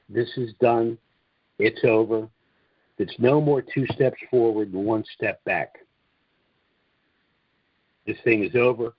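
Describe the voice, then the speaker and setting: A man giving an informal, opinionated monologue on a conference call, speaking emphatically and deliberately, repeating phrases for weight. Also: on the low side, no room sound. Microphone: conference call line. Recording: dull, dry, lo-fi conference call audio.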